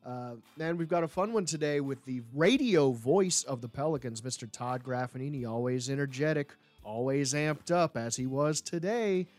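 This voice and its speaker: A man's voice: medium pitch, moderate pace, hardly any pauses, darkish tone, dry recording, faint music behind. A man hosting a sports podcast in a casual, chatty style. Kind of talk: casual chat.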